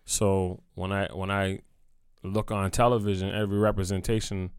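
A man from Nova Scotia speaks as a guest in a relaxed interview, direct and emphatic, with streetwise phrasing. His low voice comes through clean and smooth, and the sound is dry and close. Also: normal speed, dry recording. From Nova Scotia, Canada. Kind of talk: casual interview conversation.

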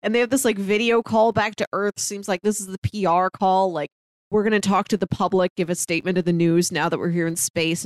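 A woman's voice: medium pitch, no echo. A young woman co-hosting a podcast, talking about a film in a casual, conversational way peppered with filler words. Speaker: podcast co-host. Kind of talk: casual movie discussion.

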